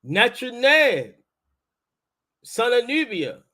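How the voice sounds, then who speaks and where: A man's voice: extremely high pitch, sing-song, slow pace, bright, forceful tone. A man with a Baltimore accent hosting a casual talk, explaining things in an insistent, colloquial way. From Baltimore, United States. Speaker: host, linguistics teacher. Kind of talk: casual live talk with debate.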